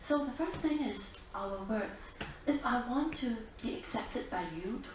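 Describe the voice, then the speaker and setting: A woman giving a dharma talk to a group, speaking deliberately, repeating herself and putting questions to her listeners. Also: a little high, animated. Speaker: Buddhist nun, teacher. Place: library-like meeting room, talk to an audience. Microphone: distant camera at back of room. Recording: echoey room, distant mic, faint noise.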